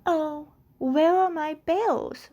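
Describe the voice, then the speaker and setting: Woman as a high-pitched, excitable little puppet sheep. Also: very slow, dull audio. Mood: searching, worried. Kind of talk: children's puppet show acting.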